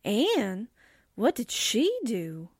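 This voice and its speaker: An actress playing an excitable, wisecracking female sidekick in 1940s-style radio drama. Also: mid-range voice, extremely slow, husky, no echo, clean studio sound, exaggerated voice. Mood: relieved, curious. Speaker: voice actor.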